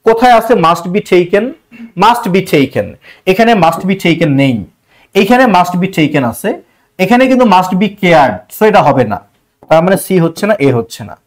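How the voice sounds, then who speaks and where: A Bangladeshi man speaks as an online teacher in a high-pitched voice. His intonation is very lively, rising and dipping often. He explains in colloquial language, putting questions to the learner and repeating key phrases. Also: a little fast. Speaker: online English grammar teacher. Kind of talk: exam-prep lesson, explaining multiple-choice questions.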